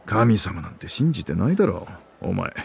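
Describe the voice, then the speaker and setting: An actor playing a gruff, skeptical male friend in a Japanese visual novel. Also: low-pitched, sing-song, racing, exaggerated voice. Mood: teasing, skeptical.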